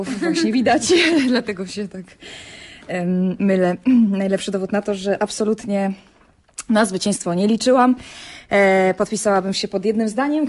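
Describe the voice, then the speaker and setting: An actress playing a young student chess champion in a radio drama, polite and hesitant with a dry, wry edge. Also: female voice, mid-range voice, darkish tone, close to an everyday voice. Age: young adult.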